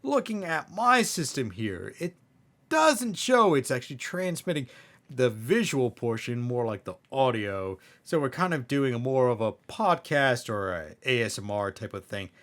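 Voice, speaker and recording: A man's voice: medium pitch, very animated, measured pace, a bit bright. A man playing a chatty, fussy hellhound brother in skit banter, explaining and coaxing in a hesitant way.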